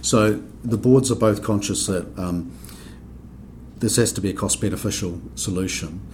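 New zealand man, deep low voice, measured explainer, lots of ums, faint background hiss. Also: darkish tone. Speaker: accounting standards board member. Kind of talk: podcast discussion.

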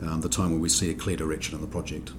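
New zealand man, deep low voice, measured explainer, lots of ums, faint background hiss. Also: level tone. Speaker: accounting standards board member. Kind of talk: podcast discussion.

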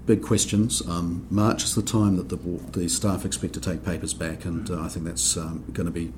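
A man with a New Zealand accent and a deep, low voice talks through technical points at a steady, explanatory pace on a podcast. He hesitates often with ums and restarts. Faint hiss sits under the voice. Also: normal speed. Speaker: accounting standards board member. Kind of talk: podcast discussion.

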